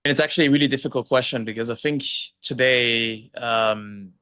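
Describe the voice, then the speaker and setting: Bright-voiced young man on a video call. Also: mid-range voice, brisk, clear, resonant tone, no room sound. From Austria. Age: young adult. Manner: fluent, many fillers and "right?" tags. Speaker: podcast guest. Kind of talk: casual interview talk. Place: indoor room with plant, video call.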